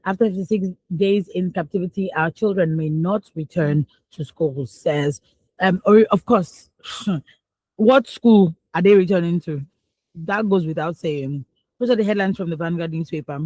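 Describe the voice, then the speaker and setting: A woman's voice: fairly low pitch, normal speed, no room sound, low-quality recording. Emphatic Nigerian woman radio co-host. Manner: animated, emphatic, exclamatory, laughs between points. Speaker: radio news review co-host. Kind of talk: newspaper review with opinionated commentary. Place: radio studio.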